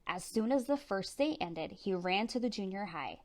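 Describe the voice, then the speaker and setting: A woman narrates a children's picture book at storytime. Her mid-pitched voice moves up and down a lot, with animated emphasis on the dramatic turns. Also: moderate pace. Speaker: storytime reader.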